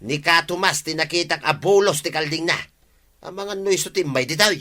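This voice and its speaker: An actor playing a hot-tempered village man who grumbles and flares up quickly, in a radio comedy drama. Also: a little high, sharp tone. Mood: defiant. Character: hot-tempered village man fuming over his crops.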